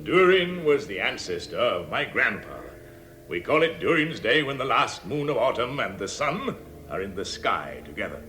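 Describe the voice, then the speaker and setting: An actor playing a proud, grave dwarf leader with a formal, declaiming manner in a 1960s radio drama. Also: male voice, mid-range voice, very animated, normal speed, pushed and bright, slight background noise.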